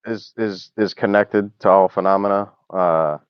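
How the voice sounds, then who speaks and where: A man's voice: sits low, steady tone, unhurried, clear, resonant tone, dry recording. A man explaining his position on an online call, curt, insistent and technical. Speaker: debater on a call. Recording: dry, muffled call audio.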